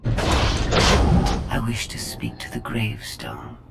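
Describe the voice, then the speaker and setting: An actress playing a calculating female droid in a video game, speaking in a measured, formal, superior manner. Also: very deep voice, fairly even intonation, vocal fry, very noisy, heavily acted. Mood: proud, detached. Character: cold, calculating female droid, proud of her intellect. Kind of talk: scripted video game acting.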